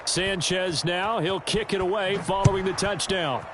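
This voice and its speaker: A man's voice: high-pitched, steady tone, unhurried, a crowd in the background, noisy background. A man playing a TV football play-by-play announcer with an American accent in a video game. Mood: measured. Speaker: play-by-play sports commentator.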